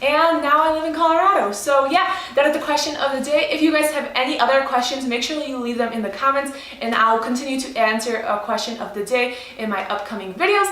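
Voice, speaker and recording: A woman's voice: high-pitched, fairly quick, hardly any pauses, fairly bright tone, reverberant. A young woman with a Polish accent mixed with Chicago, chatting casually as a vegan lifestyle vlogger. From Poland. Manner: friendly, chatty, with fillers. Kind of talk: casual cooking vlog with voice-over.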